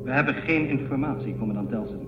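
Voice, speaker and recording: A man playing a calm, factual ship's intelligence who reports formally in a science fiction radio play. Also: mid-range voice, fast, natural voice. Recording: echoey phone-like audio over music.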